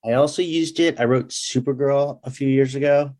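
A man's voice: medium pitch, steady tone, normal speed, warm tone. A man talking casually as a guest writer in an interview, with self-effacing, nerdy enthusiasm. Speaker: comic book writer, podcast guest.

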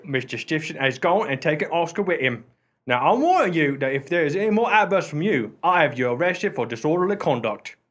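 A man's voice: a little high, animated, brisk, a bit bright. A man acting a cheeky, good-natured working-class meddler in a comic radio play, chatty and wry in colloquial speech. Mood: matter-of-fact.

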